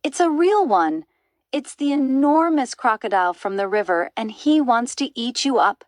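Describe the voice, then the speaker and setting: A woman voicing an excitable male bird who sings out urgent warnings in a storybook. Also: a little high, moderate pace, no echo, clean studio sound, voice pushed up, natural voice.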